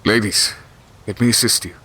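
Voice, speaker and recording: Man acting a sharp-voiced, grief-worn fantasy king. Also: fairly low pitch, fairly even intonation, husky. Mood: sorrowful. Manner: commanding, deliberate, formal. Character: grieving, exhausted, merciless fantasy king. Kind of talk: fantasy audio drama acting.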